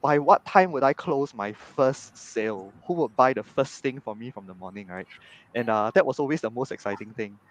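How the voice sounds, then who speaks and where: A man, chatting as a guest on a video call, animated and tagging his sentences with "right?". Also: medium pitch, moderate pace, rough recording. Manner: animated, frequent "right?" tags. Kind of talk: casual storytelling in an interview. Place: home room on video call.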